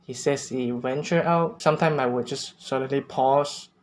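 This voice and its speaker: A man's voice: mid-range voice, steady tone, moderate pace, slight background noise. Earnest man sharing tips. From Malaysia. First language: Cantonese. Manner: earnest, unpolished, with non-native phrasing and small restarts. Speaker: language-learning vlogger. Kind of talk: casual tips talk to camera.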